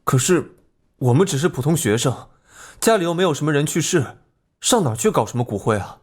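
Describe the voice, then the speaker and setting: High-voiced, emotional young student. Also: male voice, animated, brisk, husky. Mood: apologetic, defensive. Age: young adult. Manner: agitated, protesting. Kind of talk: animated drama acting.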